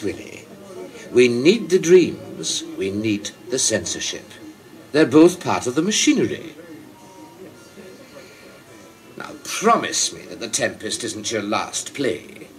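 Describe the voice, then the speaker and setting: A man's voice: mid-range voice, huge pitch swings, measured pace, faint music behind. An actor playing a genial, talkative king of England in a radio play, with a theatrical, condescending manner. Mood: probing, insistent. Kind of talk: broadcast radio play acting.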